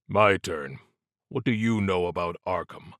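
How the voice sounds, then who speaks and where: A stubborn, weary lawman in an audiobook thriller , put on by a male reader. He speaks low, in terse, pointed phrases that sound grudging. The sound is dry. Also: a little slow, a bit bright, no echo. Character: weary, stubborn, by-the-book male federal agent. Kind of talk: audiobook character dialogue.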